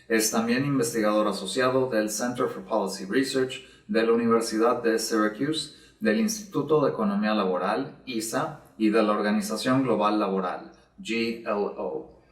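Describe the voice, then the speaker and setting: A man formally reading out a speaker's introduction at an academic event, in a steady, even reading. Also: on the low side, normal speed. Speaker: event presenter. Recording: echoey room, distant mic.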